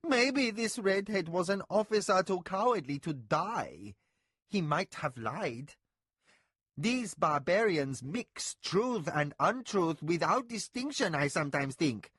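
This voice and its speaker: A frightened, fawning house overseer pleads his case in a thin, high, whining voice, as a man reads him aloud. The pitch leaps around anxiously, and there is a husky grain to it. It sounds close and dry. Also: sing-song, slow pace, fairly bright tone, no room sound, heavily acted. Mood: aggrieved. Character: anxious male overseer servant, fawning and aggrieved.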